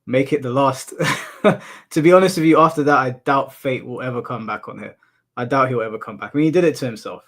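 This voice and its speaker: A man from the UK with a monotone voice, casually chatting and answering his livestream chat, laughing off insults. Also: medium pitch, fast talker. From United Kingdom. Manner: relaxed, laughs off insults, addresses chat as bro. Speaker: streamer. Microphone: headphones in, desk mic.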